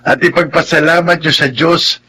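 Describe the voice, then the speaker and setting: A man playing a kindly old grandfather in a Filipino radio drama, with a husky, creaky voice. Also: fairly high pitch, steady tone, normal speed, sharp tone, a little hiss or hum. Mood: kindly, pleased.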